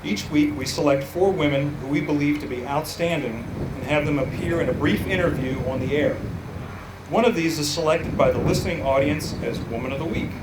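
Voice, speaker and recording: A man introducing a radio-play reenactment to a live audience in a relaxed, conversational way. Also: on the high side, brisk. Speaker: family member presenting the event. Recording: echoey noisy space.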